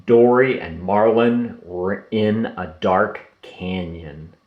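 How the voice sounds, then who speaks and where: A man reading a children's storybook aloud in an expressive storytelling manner. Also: medium pitch, highs cut off. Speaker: storybook reader. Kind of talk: children's read-aloud narration. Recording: roomy echo.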